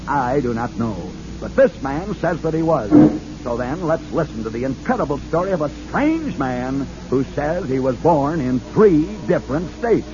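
Showman radio host, lively swooping delivery, music bed, muffled vintage sound. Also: fairly high pitch. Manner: theatrical, emphatic showman. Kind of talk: dramatic radio narration.

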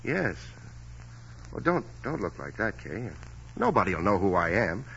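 An actor playing a hard-up stage actor in a 1940s radio drama, talking bashfully with stammers and hesitations. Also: male voice, on the low side, very animated, a little slow, gravelly, sharp tone, slight background noise, heavily acted. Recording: dull lo-fi old radio recording.

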